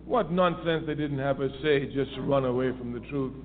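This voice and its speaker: A man's voice: medium pitch, moderate pace. A man giving an impassioned political talk on a call-in radio show, emphatic and repeating his key phrases for force. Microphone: phone line. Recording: tinny phone line with faint hiss.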